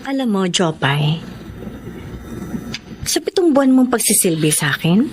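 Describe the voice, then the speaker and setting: A woman's voice: mid-range voice, very animated, a little slow, a little hiss or hum. An actress playing a generous, motherly middle-aged employer in a Filipino radio drama, composed and slipping English into her speech. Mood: caring, encouraging. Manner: composed, mixes English into Tagalog.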